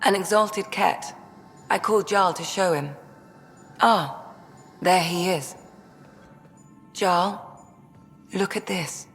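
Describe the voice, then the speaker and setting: An actress playing a composed, caring doctor in a video game, measured and matter-of-fact. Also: female voice, fairly low pitch. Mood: absorbed. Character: composed, caring female ship's doctor and scientist. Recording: reverberant room with faint music.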